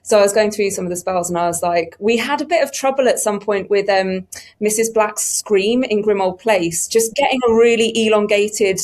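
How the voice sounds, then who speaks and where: A woman's voice: mid-range voice, normal speed, darkish tone. A woman telling casual anecdotes on a discussion panel in an informal, enthusiastic way. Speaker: production team member on a panel.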